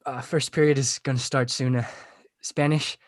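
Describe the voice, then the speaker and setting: A man's voice: medium pitch, level tone, moderate pace, dry recording, lightly acted. A man playing an awkward, fragile, lovesick sixteen-year-old boy in a comedy script read. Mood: hesitant.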